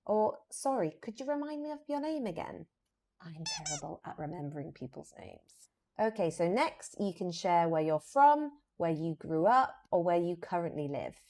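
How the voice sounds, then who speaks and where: British woman teaching English, low-pitched voice. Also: a little mellow. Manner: clear, deliberate, emphatic modelling of phrases. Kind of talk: instructional lesson to camera, scripted explanation with example phrases.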